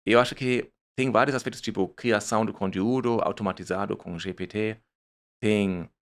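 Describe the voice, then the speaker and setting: A man's voice: on the low side, steady tone, normal speed. A man with a German accent, a startup founder speaking as a guest, searching for words and restarting his phrases. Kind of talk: conversational interview.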